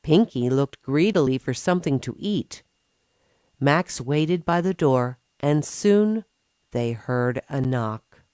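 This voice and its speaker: A woman reads a children's story in a low voice. Her delivery is very slow and richly melodic, and the recording is dry and close. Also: animated, a little mellow, dry recording. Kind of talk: scripted children's story narration.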